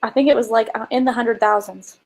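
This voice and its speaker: A woman's voice: a little high, steady tone, a little fast, darkish tone. A woman co-hosting a casual business coaching chat, talking informally and emphatically and explaining with examples. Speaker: co-host and marketing coach.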